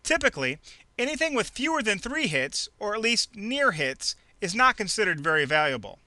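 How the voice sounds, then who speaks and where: A man reading a scripted instructional narration in a clearly enunciated, measured, teacherly way. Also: sits high, pushed and bright, no room sound. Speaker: narrator of an instructional trading video. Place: close dry voice-over recording.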